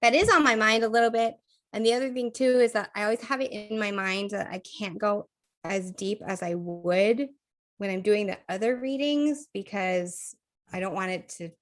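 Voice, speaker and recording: Chatty podcast woman, slightly croaky. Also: medium pitch, normal speed. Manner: chatty, laughs often, thinks aloud with fillers. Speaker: podcast co-host. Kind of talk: casual chat.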